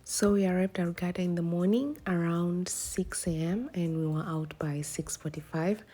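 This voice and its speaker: A young woman, hoarse from a cold, gives a casual voice-over account of a trip as a vlogger. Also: lowish voice, normal speed, warm tone. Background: background music.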